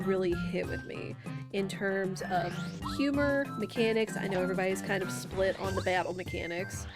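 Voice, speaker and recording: A young woman who sounds congested and nasal from a cold, chatting casually while streaming a game with rambling, filler-heavy talk. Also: mid-range voice. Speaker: gaming streamer. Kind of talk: casual live-stream commentary. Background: game music.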